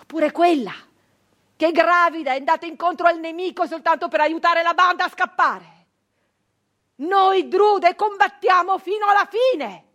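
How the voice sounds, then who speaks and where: An actress playing a fierce, embittered nineteenth-century brigand woman in an intense, declamatory theatrical monologue with dramatic pauses. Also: extremely high pitch. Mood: fierce, defiant. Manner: intense, declamatory, with slow pauses and hushed drops. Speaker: stage actress.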